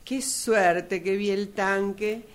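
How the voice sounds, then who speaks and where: A woman's voice: medium pitch, steady tone, slow, close to an everyday voice. An Argentine woman acting in a radio drama as a cocksure, insistent navigator in a car. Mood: breezy, insistent. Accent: Argentine, Rioplatense.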